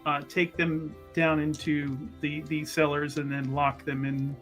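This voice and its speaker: A man's voice: a little high, monotone, measured pace, loud music behind the voice. A man chatting casually as a player in a tabletop roleplaying game.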